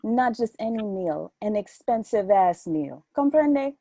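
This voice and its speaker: A woman acts out a scheming young woman in a stage play reading. She is sassy and mock-sweet, with a husky edge and very animated pitch in a close, dry sound. She taunts as if she holds all the cards. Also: unhurried, dry recording. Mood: taunting, smug. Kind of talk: readers theatre play reading.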